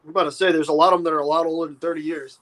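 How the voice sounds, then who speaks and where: A man's voice: a little high, fairly even intonation, very fast, fairly bright tone, poor audio. A man in his thirties talking casually as a co-host. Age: 30s. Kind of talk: casual chat.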